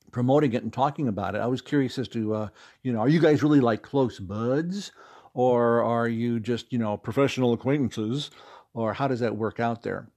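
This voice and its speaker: A man's voice: mid-range voice, a little mellow. A middle-aged man with an American accent, chatting as an interview guest and telling anecdotes with emphatic stresses. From Fort Wayne, Indiana, United States. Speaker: interview guest, audio drama creator and stage actor.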